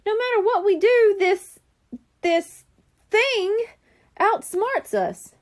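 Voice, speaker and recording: A woman's voice: very high-pitched, takes it slowly, pitched above the performer's own voice. A woman voicing a grumbly, easily spooked young boy who complains, in a children's storybook. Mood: creeped out.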